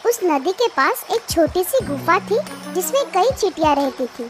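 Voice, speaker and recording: Very high-voiced, animated woman storyteller. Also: normal speed. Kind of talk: scripted children's story narration. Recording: loud music behind the voice.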